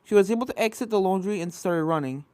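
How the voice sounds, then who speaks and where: A woman's voice: medium pitch, fast, a little mellow, no echo. A woman reading a scripted fan fiction story as narrator, with spooky video game music behind her.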